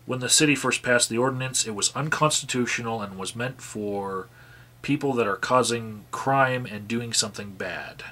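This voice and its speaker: A man's voice: mid-range voice, pushed and bright. A man reading call records aloud and adding his own commentary, sighing often and restarting his sentences. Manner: sighing, halting restarts between read passages. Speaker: activist vlogger reading out call logs.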